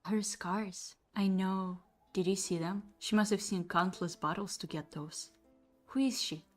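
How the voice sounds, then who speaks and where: A woman's voice: mid-range voice, steady tone, a little slow, close to an everyday voice. Woman voicing a polite cat-girl bathhouse attendant. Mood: gossiping. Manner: courteous, formal phrasing. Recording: music behind the voice.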